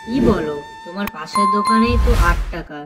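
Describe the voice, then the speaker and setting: A woman's voice: medium pitch, fairly quick, natural voice. Lady doing a blunt cartoon village customer, sounds far from mic, music behind. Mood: surprised, dismissive. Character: blunt village shopper haggling over prices. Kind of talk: cartoon voice acting.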